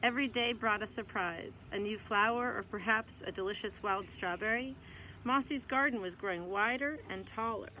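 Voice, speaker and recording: A woman's voice: medium pitch, moderate pace, pushed and bright, rough recording. A woman reading a children's picture book aloud as a teacher would, in scripted narration. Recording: clear background noise.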